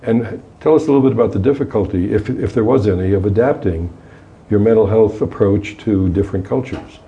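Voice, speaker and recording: A man's voice: lowish voice, brisk, dark tone, dull audio. A man interviewing a guest, asking long questions he rephrases as he goes.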